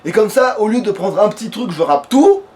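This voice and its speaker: A man's voice: sits high, sing-song, a little fast, darkish tone. A man doing casual let's play commentary, slangy and sweary, thinking aloud as he plays. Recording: noisy home gaming capture.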